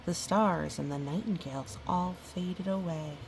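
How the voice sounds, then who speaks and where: A woman reading a children's picture book aloud, gently, breaking off for musing, chatty asides to a young listener. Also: lowish voice, unhurried, gravelly, noisy background. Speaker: storybook reader.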